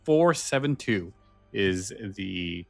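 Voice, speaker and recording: A man hosting a podcast in casual chat, halting a little and correcting himself as he goes. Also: low voice, animated, creaky, no room sound, faint music behind. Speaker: podcast host. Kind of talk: casual chat and show sign-off.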